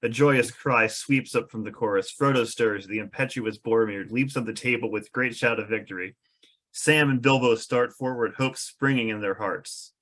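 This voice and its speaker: Man narrating a fantasy screenplay, medium pitch. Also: normal speed, a bit bright. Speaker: narrator of stage directions.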